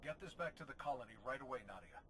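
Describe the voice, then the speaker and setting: A heroic male Jedi commander in a game cutscene. His medium-pitched voice is steady, earnest and reassuring over faint noise. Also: very fast. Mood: composed, assured. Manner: composed, earnest. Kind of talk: video game cutscene acting.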